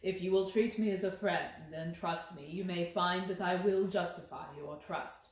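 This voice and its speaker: Lady doing a calm male detective for an audiobook, voice dropped low, measured n grave, very echoey. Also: a little hiss or hum, lightly acted. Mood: gentle, reassuring. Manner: measured, grave, gently insistent. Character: calm, courteous, firm gentleman detective. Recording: very echoey room.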